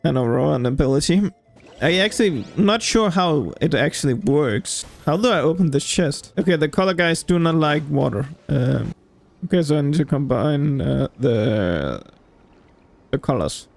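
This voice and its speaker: A man giving casual gameplay commentary as a streamer, thinking aloud in a halting, puzzled way. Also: a little high, animated, creaky, faint music behind. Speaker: gamer streamer.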